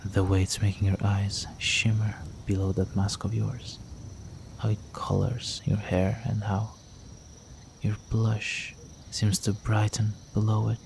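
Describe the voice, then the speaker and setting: Man acting as seductive ancient vampire gentleman, very slow, even low-key delivery, breathy, courtly, teasing, intimate audio drama, faint night noise behind. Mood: tender, admiring. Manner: courtly, flirtatious, teasing, breathy with drops into whisper.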